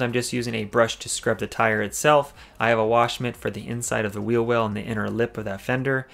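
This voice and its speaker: Fast-talking gravelly man narrating car detailing. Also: medium pitch, a bit bright. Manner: explanatory, tip-giving. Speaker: car detailing channel host. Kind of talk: instructional voice-over narration.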